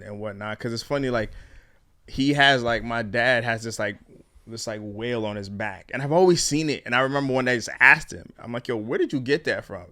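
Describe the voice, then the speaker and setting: Young adult man, low-pitched, smooth clear tone, relaxed slangy guest, dry close sound. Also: dry recording. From born in Ghana, raised in Canada. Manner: laid-back, slangy, swears freely. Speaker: interview guest. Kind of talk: casual interview chat.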